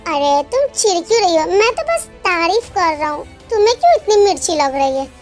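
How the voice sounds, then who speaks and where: Woman as a cocky, very high-voiced young husband. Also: sing-song, brisk, faint music behind. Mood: teasing. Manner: teasing, self-satisfied.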